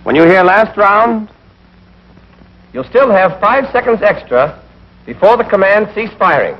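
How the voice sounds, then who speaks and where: A man's voice: high voice, very animated, unhurried. A man with an American accent narrates a military training film as an instructor, speaking directly to trainees in a scripted, instructive way. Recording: dull, band-limited old training film soundtrack.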